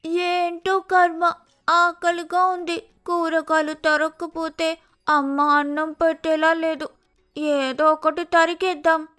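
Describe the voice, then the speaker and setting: A woman's voice: very high-pitched, flat delivery, slow pace, sharp tone, soft background music, heavily acted. A woman voicing a lazy, pampered young female sparrow in a cartoon moral story, whining and wheedling dramatically. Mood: sulky.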